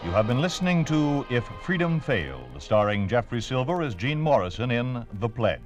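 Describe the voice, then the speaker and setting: Animated 1950s American radio announcer, male. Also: medium pitch, normal speed, highs cut off. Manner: solemn, formal, emphatic. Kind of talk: 1950s radio announcing, scripted dramatic narration. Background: music.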